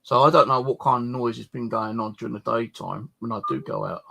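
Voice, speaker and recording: A man's voice: medium pitch, steady tone, a little fast, creaky, pushed and bright, breathy edge. A man chatting casually and ramblingly about his own life, grumbling and swearing in a colloquial way. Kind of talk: casual rambling personal chat.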